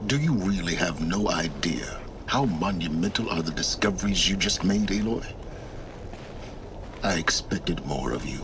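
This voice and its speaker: An actor playing an aloof, impatient middle-aged scholar of ruins in a video game, measured and condescending. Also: male voice, deep voice, lively intonation, background noise. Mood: scornful. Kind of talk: dramatic video game acting.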